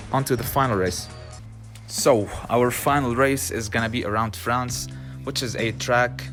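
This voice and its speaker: A man whose first language is Arabic gives casual commentary while playing a racing game, chatty and often frustrated, laughing and filling pauses with "um". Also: lowish voice. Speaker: gaming channel host.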